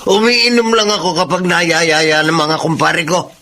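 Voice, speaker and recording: A man's voice: on the high side, measured pace, sharp tone. A man with a Filipino accent playing a grumbling, defensive middle-aged father who drinks, in a radio drama. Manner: grumbling, accusing.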